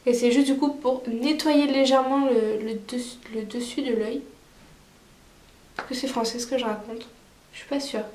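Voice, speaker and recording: A woman's voice: on the high side, fairly even intonation, normal speed, darkish tone, reverberant. A woman vlogger with a cold, chatting casually through a makeup tutorial with many fillers. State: stuffy with a cold. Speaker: beauty vlogger.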